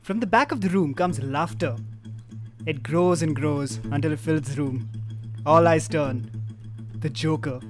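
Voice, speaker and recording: Male narrator, slightly high voice, scripted stage directions, clear guitar music underneath. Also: a little slow, pauses often.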